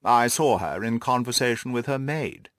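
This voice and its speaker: A male reader acting a stuffy, haughty lord from a classic audiobook. He speaks formally and at length, full of self-importance, with lively swings of pitch in a close, dry recording. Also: moderate pace, dry recording, natural voice. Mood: aloof. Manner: pompous, formal, long-winded. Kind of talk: audiobook character dialogue.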